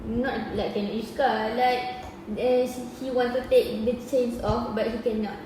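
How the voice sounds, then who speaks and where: A woman's voice: on the high side, level tone, measured pace, mellow. A young adult woman with a Malaysian accent, presenting informally in a group discussion as a student. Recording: echoey hall, distant mic, faint noise.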